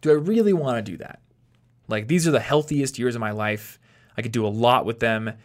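A man in his late twenties hosting a podcast, explaining things in a relaxed chat while thinking aloud and restarting his sentences. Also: medium pitch, a little fast. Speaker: podcast host.

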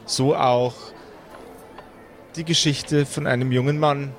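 A man hosting as game master, telling a story in an atmospheric, unhurried narrator's style. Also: mid-range voice, fairly even intonation, a faint crowd, a little hiss or hum. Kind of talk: slow atmospheric scripted narration.

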